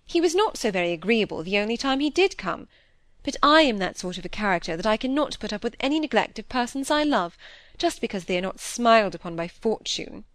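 A woman's voice: on the high side, very animated, a little fast, fairly bright tone, no room sound. A woman voicing an affected, self-pitying middle-aged genteel mother in an audiobook, plaintive and ostentatiously sentimental. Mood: wounded.

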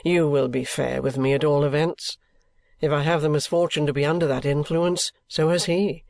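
A man playing an affectionate but bitter, evasive young gentleman, earnest and weary, in acted audiobook dialogue from a classic novel. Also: fairly high pitch, steady tone, moderate pace, vocal fry, dry recording. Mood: insistent.

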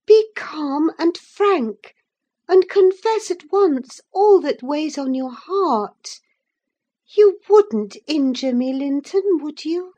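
A woman's voice: slow, few pauses, darkish tone, dull audio. A woman playing a fiery, scornful young lady. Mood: urgent coaxing. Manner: indignant, scolding, high-pitched. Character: proud, impatient young gentlewoman, quick-tempered.

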